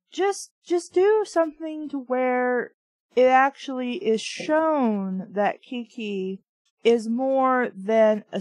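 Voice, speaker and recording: A woman's voice: fairly high pitch, darkish tone, no echo. A woman giving casual, unscripted critical commentary as a book reviewer, blunt, opinionated and prone to swearing.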